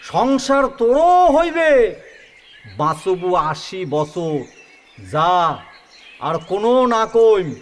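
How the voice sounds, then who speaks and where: A man's voice: sits high, sing-song, extremely slow, pauses often, heavily acted. A man playing a pompous village sadhu who solemnly pronounces fortunes, with a North Bengal Rajbanshi accent, in an audio drama. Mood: pronouncing, blunt. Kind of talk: rural audio drama acting.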